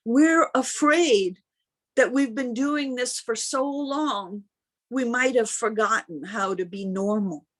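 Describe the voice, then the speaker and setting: A woman minister delivers a sermon in a high-pitched voice. Her pace is slow and deliberate, with emphatic phrasing and pauses between thoughts. She is speaking to her congregation over a video call. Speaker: minister preaching.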